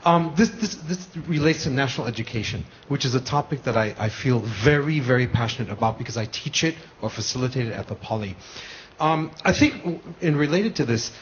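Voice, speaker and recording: A man, speaking in a mid-pitched voice, passionately builds up his question from the audience and restarts his sentences often. The sound is dull and broadcast-muffled, with a faint hiss. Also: animated, a little fast. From United States. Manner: earnest, passionate, with many restarts. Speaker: polytechnic lecturer in the audience. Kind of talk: question from the floor.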